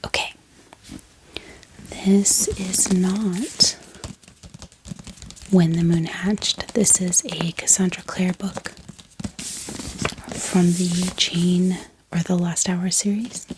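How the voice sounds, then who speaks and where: A woman hosting a bookish ASMR video in a soft whisper, talking gently and informally to her viewers without hurry. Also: lowish voice, flat delivery, drawn-out words, long pauses, noisy background. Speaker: book haul ASMR host.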